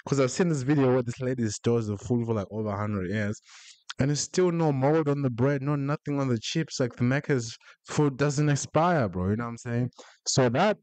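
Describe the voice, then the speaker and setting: A man's voice: medium pitch, moderate pace, darkish tone, dry recording, rough recording. A young man with an Australian accent, chatting loosely to viewers as he reacts to a video, with slangy, laid-back banter. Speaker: reaction streamer. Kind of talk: casual reaction commentary. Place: small indoor room. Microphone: handheld mic with headset.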